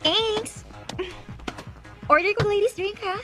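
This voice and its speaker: A woman's voice: extremely high pitch, takes it slowly, over music. An actress playing a forward, teasing woman in a Bisaya radio drama, with a Filipino accent. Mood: flirty, brazen. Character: forward women of a radio drama: nagging wife, flirty bar hostess.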